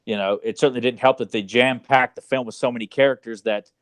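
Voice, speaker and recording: A man co-hosting a podcast, chatting casually and swearing now and then like an animated fan. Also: medium pitch, fast, no room sound. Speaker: podcast co-host. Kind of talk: casual chat about movies.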